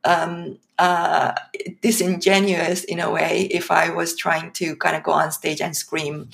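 A woman from Iran, in her thirties, talking in a casual podcast interview with many fillers and pauses. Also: fairly low pitch, vocal fry. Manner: hesitant, many fillers, animated when laughing. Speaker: podcast guest.

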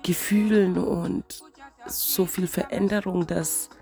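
A woman with a cold talks slowly in a casual spiritual vlog, her voice stuffy and wheezing. Her tone sits a little low and turns gravelly and fried, as she searches for words in an unhurried, reflective way. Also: fairly even intonation, mellow, faint music behind. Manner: slow, searching, reflective musing. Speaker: vlogger.

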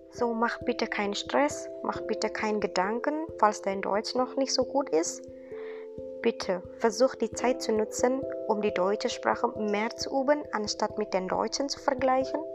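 A young woman from Indonesia speaking German with an Indonesian accent, giving an earnest, encouraging podcast monologue in halting non-native phrasing. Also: fairly high pitch. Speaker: podcast host, student. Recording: music bed behind voice.

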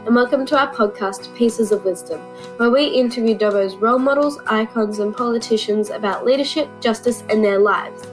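A teenage girl with an Australian accent, a student podcast host reading a scripted introduction in a clear, read-aloud way. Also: on the high side, moderate pace, faint music behind, low-quality recording. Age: teens.

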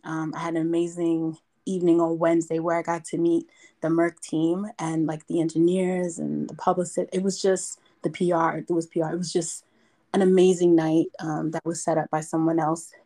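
A woman from New York, a podcast guest, chatting in a raspy, strained voice that is hoarse from yelling, quick to break off and correct herself. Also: low voice, level tone, no room sound. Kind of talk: casual podcast interview.

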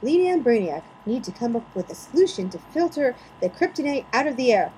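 A woman's voice: a little high, very animated, quick pace, warm tone, slight background noise, low-quality recording. Theatrical woman podcast host. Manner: theatrical, mock-dramatic reading. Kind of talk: podcast segment hosting and mock-dramatic recaps.

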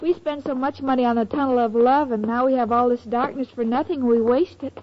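A woman's voice: a little high, steady tone, fairly quick, lo-fi sound, natural voice. An actress playing a sweet, naive, dim-witted young woman in a 1940s radio comedy. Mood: wistful. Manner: naive, guileless, literal-minded. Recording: noisy old radio recording, loud studio audience.

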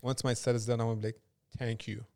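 A man's voice: mid-range voice, flat delivery, quick pace, vocal fry, darkish tone. A man, a podcast co-host and DJ, chatting casually and nudging the talk along. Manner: easygoing, prompting others to go on. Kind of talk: casual chat.

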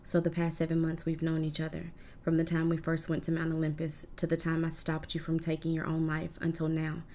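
A woman voicing a lovesick male sea god, reading his lines softly and earnestly. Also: low voice, completely flat delivery, a little fast, a little hiss or hum. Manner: soft, earnest storybook reading.

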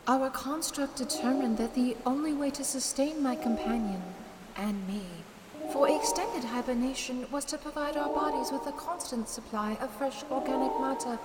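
A woman playing a calm alien sentient in an audio drama, speaking in a formal, measured, solemn way. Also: high voice, very noisy, lightly acted. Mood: solemn, explanatory. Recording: long reverb, distant, music behind.

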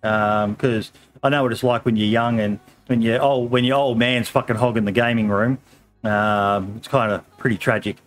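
Aussie guy hosting his podcast, bit hoarse, laid back, lots of ums and mate. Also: medium pitch, steady tone, moderate pace, faint music behind. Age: middle-aged. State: slightly hoarse. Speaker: podcast host. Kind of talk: casual podcast chat.

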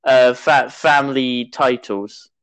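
A young man co-hosting a casual podcast, talking in a hesitant, halting way with many pauses and fillers. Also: medium pitch, a bit bright, clear, resonant tone. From half South African. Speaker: podcast co-host. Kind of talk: casual chat.

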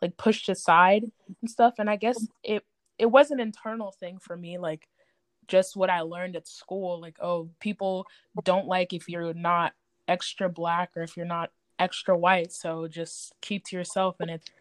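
A young woman talking candidly in a group discussion, in an informal, halting, reflective way with frequent "like". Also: on the low side, steady tone, no room sound.

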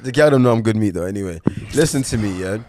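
Podcast co-host: animated man in his twenties, fast and lively delivery. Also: lowish voice, a little hiss or hum. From Ghana. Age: 20s. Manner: animated, joking, talks over others. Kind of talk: casual chat.

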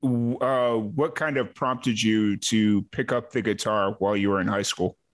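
A man's voice: fairly low pitch, a little slow. Chatty male podcast host. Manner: relaxed, chatty, with filler words and restarts. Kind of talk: casual interview chat.

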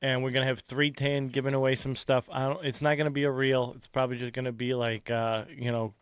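Casting: male podcast host, mid-pitched, rambling and loose, dry close recording. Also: flat delivery, fast, clean tone, no room sound. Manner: loose, rambling, lots of filler words. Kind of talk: casual podcast chat.

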